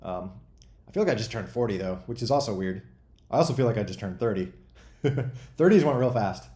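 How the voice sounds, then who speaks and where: A man in his forties chatting casually as a host, rambling and thinking out loud in a self-deprecating way. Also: on the low side, expressive, fairly quick, echoey room. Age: 40s. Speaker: host and game developer answering chat questions. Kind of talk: casual freeform chat.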